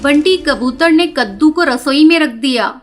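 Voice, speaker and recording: A woman's voice: high voice, animated, moderate pace, noisy background. A woman reading scripted narration for a children's cartoon story. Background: background music.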